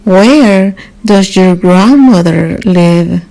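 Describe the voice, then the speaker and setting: A woman voicing a sly, hungry male wolf in a children's storybook, smooth-talking and falsely friendly. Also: mid-range voice, extremely slow, dark tone, slight background noise, rough recording.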